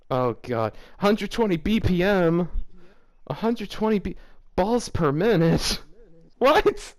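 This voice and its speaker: A man's voice: sits high, very animated, takes it slowly. A man with a slightly raised voice reads fan fiction aloud in a loose, casual way. He keeps stopping to comment, groaning and laughing in disbelief at the text. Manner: breaks into incredulous laughter and groans.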